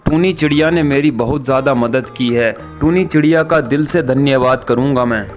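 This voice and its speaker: A man voicing a good-natured, grateful big-brother bird, earnest and exclamatory, in an animated children's story. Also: mid-range voice, moderate pace, faint music behind, lightly acted. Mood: happy, thankful.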